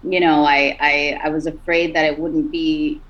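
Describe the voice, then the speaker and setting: A woman singer with a raspy, rugged voice, chatting as a podcast guest, loose and full of "like" and "you know". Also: low voice, moderate pace, highs cut off. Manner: relaxed, many fillers like "like" and "you know". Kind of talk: casual interview talk.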